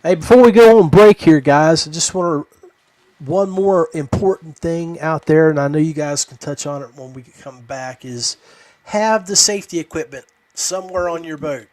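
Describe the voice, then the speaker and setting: A man with a slightly high voice hosts a casual podcast. He talks informally and haltingly, off the cuff. The sound is dry, with little room in it. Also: a little mellow, no room sound. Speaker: podcast host. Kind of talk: casual unscripted podcast chat.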